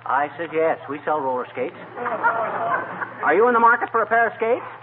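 High-voiced wisecracking store clerk. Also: male voice, fairly quick. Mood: exasperated, folksy. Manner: punning, folksy, animated patter. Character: wisecracking, bumbling hardware store clerk, easily exasperated man. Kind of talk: 1950s radio comedy acting. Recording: noisy, narrow-band old radio recording.

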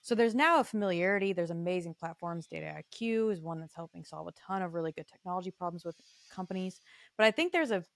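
A woman with a lowish voice hosting a podcast conversation. She keeps an easygoing, affirming tone. The recording is dry and close. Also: quick pace, no echo. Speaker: podcast host. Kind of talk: conversational interview.

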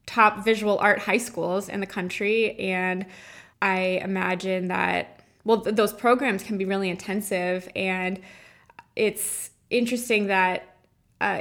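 A woman's voice: medium pitch, fairly bright tone. A woman hosting a podcast, asking thoughtful, winding questions with a few restarts. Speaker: podcast host. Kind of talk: interview questions.

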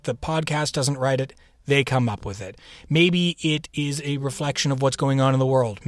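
A man speaks as a podcast host over faint music. His delivery is casual and chatty, with playful rhetorical questions and asides, in a medium-pitched voice.

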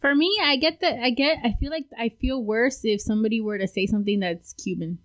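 A woman's voice: on the high side, a little fast. Playful woman interviewer. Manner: playful, laughs often, says "like" a lot. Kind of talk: casual interview chat.

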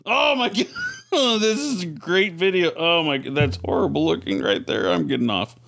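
A man's voice: on the high side, animated, normal speed, gravelly, fairly bright tone. A man, middle-aged, co-hosting a casual podcast with playful, exclamatory reactions. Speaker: podcast co-host. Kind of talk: casual chat.

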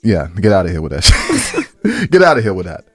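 A man's voice: lowish voice, huge pitch swings, a little fast, breathy edge, no echo, soft background music. An American man co-hosting a podcast, chatting casually with slang and profanity, teasing and repeating phrases for emphasis. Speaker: podcast co-host. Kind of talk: casual bantering chat with profanity.

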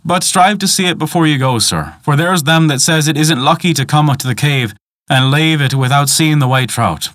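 A man's voice: fairly high pitch, moderate pace, a bit bright, no room sound, clean studio sound, lightly acted. A man voicing an old peasant woman, a superstitious, folksy guide telling tales in dialect for a storybook. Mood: folksy, coaxing.

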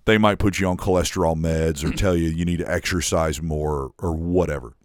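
A man's voice: deep voice, steady tone, a little fast, no echo, clean studio sound. A man co-hosting a podcast, telling a long story in casual chat and acting out what others said, swearing now and then. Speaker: podcast co-host.

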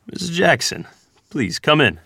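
A man's voice: on the high side, very animated, measured pace, gravelly, bright, forceful tone, husky, no echo, studio quality, exaggerated voice. A man acting an easygoing rural ferryman and devoted young husband in a screenplay table read, casual and understated.

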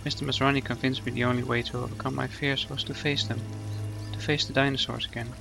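A man's voice: medium pitch, little pitch movement, quick pace, creaky, natural voice. A man acting a modest, anxious visitor facing his fears in an audio drama. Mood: sheepish, confessing. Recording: prominent music under the dialogue.

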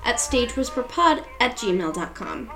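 A woman's voice: fairly high pitch, sing-song, moderate pace, fairly bright tone, low-quality recording. A woman reading a scripted podcast outro as an announcer. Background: music.

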